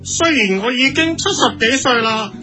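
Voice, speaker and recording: A man with a Hong Kong accent playing a proud, slow-witted TV host in his seventies in a comedy radio skit. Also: very high-pitched, animated. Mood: proud, cheerful. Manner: self-satisfied, slow-witted. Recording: music playing behind.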